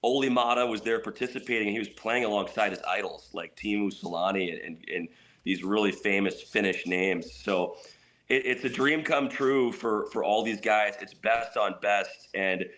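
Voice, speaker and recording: A man's voice: on the low side, moderate pace, a bit bright. A man giving enthusiastic, conversational hockey analysis as a guest on a sports radio show. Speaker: hockey play-by-play announcer as guest. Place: at home on a couch, remote call.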